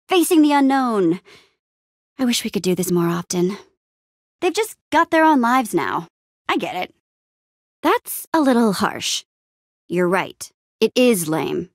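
An actress playing a spirited young female adventurer and town leader in a video game, expressive and playful. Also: medium pitch, no room sound, studio quality. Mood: wistful, gentle.

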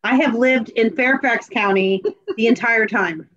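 A woman in a casual panel chat, telling things in an animated, self-deprecating way. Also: a little high, a little slow. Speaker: community theater panelist. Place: indoors on a video call. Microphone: computer mic on video call. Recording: dull, lo-fi video call audio.

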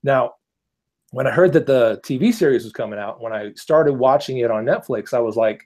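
A man in his thirties on an earbud mic over a video call, explaining things in a relaxed, measured way as a podcast guest. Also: medium pitch. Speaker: podcast guest, gun channel creator. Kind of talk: casual podcast chat. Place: small room at home, indoors.

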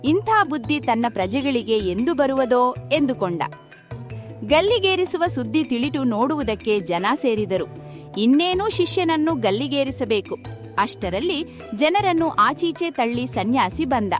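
A woman narrating a scripted children's folktale in an expressive storytelling manner. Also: sits high, unhurried. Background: background music.

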